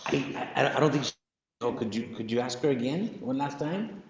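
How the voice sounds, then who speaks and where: A man's voice: mid-range voice, animated, moderate pace. A man speaking informally as a teacher moderating questions in a school room, away from the microphone. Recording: muffled, echoey room with background noise.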